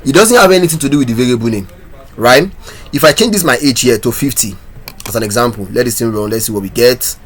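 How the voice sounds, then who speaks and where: A man's voice: medium pitch, fast, fairly bright tone. A man teaching a coding lesson, talking through his code step by step and asking viewers questions. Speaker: programming instructor. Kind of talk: screen-recorded coding tutorial.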